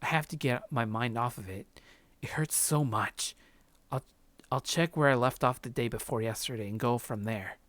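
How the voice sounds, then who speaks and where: A man's voice: medium pitch, normal speed, fairly bright tone, no room sound. A man hosting a let's play, reading a visual novel's first-person narration aloud.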